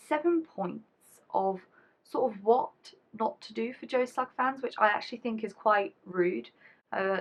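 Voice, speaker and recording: Young woman, rambling casual vlog chat, voice a bit deeper than usual. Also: gravelly, a bit bright. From England. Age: 20s. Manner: chatty, rambling, casual slang. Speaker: YouTuber.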